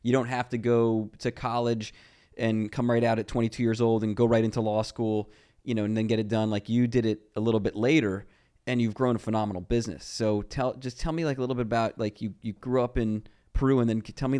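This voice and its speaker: A man's voice: on the low side, fairly even intonation, fast, dark tone, clear, resonant tone. A man hosting a podcast interview, chatty and loose, leaning on "like" and "you know" while asking questions. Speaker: podcast host, lawyer. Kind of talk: casual interview talk.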